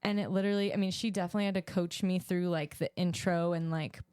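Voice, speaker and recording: A woman's voice: on the low side, steady tone, fast talker, clear, resonant tone, no echo, clean studio sound. A woman hosting a podcast in a casual, chatty, conversational way. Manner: self-described loud talker. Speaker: podcast host. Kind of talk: casual chat.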